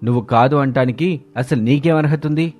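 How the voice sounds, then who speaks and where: A man's voice: medium pitch, a little fast, darkish tone, soft background music, lightly acted. A man voicing a loyal young husband in a story, firm and indignant as a son standing his ground. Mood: defiant.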